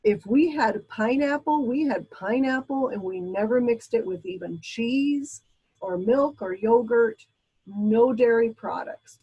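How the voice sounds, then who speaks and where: A woman's voice: fairly high pitch, dark tone, slightly rough, rough recording. A woman from Brazil teaching a class in a casual, chatty lecture style, with frequent ums and asides. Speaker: instructor.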